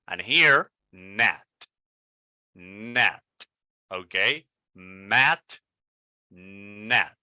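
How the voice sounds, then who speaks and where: A man from Venezuela with a Venezuelan Spanish accent, coaching English pronunciation and repeating model words slowly and deliberately. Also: lowish voice, pushed and bright, no echo, studio quality.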